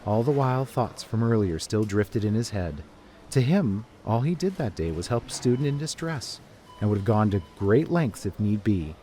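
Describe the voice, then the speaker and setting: A man's voice: lowish voice, lively intonation, moderate pace, dark tone, slight background noise. A man reading scripted story narration in the third person as the narrator.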